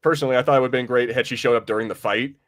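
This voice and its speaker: A man's voice: mid-range voice, steady tone, very fast, no room sound. Casual man podcaster, mid-range voice. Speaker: podcast co-host. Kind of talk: casual movie review chat.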